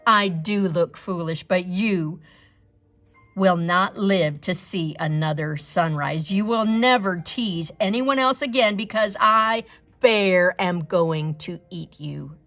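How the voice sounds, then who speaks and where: A woman voicing a big grumpy male bear, speaking slowly with a growl. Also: fairly low pitch, fairly bright tone, soft background music. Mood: menacing. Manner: slow growling.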